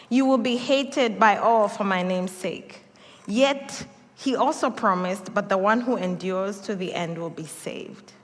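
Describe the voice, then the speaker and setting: A woman pastor from Kenya with a Kenyan accent, preaching a sermon with animated storytelling, acted quotes and laughter. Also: fairly high pitch. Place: church sanctuary. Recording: long church hall reverb.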